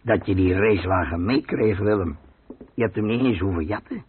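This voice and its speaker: An actor playing a spiteful, impatient crook in a radio play, grumbling and quick to blame. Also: male voice, lowish voice, a little hiss or hum, lightly acted. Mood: sour. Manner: grumbling, accusing. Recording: dry, muffled telephone-like old radio recording.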